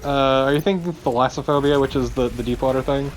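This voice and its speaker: A man's voice: medium pitch, fairly even intonation, fast talker, slight background noise, low-quality recording. A man chatting casually and wryly as a co-commentator over gameplay. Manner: wry, sweary. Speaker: let's play co-commentator.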